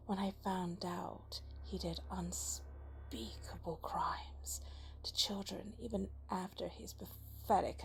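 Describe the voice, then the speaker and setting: A woman playing a cunning, vengeful female spirit of darkness in an ASMR roleplay, speaking in a breathy, taunting, deliberate and persuasive way. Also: lowish voice, fairly bright tone, full character voice, poor audio. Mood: bitter, scornful. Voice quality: breathy whisper. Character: cunning vengeful female dark spirit, seductive punisher of the guilty.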